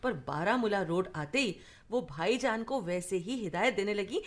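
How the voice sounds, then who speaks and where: A woman's voice: medium pitch, lively intonation, moderate pace, natural voice. A woman acting in a radio play as a cheerful grown woman fondly recounting her childhood family tales. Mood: amused storytelling. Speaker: radio drama actor. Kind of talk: conversational radio play storytelling.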